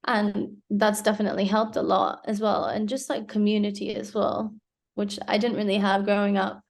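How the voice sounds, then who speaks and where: Young woman interviewee, gravelly edge, thoughtful, fillers and restarts. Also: mid-range voice, level tone, moderate pace. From Hong Kong. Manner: reflective, many fillers and restarts. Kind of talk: casual interview.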